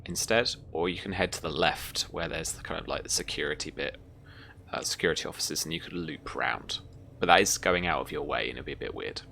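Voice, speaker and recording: A woman game master with a very low, bright voice. She narrates casually, as if talking to friends round a table, with some noise on the recording. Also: fairly quick, vocal fry. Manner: casual, conversational.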